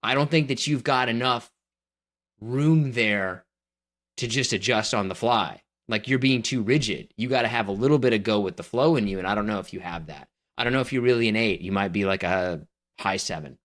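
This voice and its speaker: A man's voice: fairly low pitch, fairly quick, a bit bright. A man co-hosting a casual podcast chat, teasing his partner and handing out scores. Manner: teasing, judging and rating his co-host. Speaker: podcast co-host.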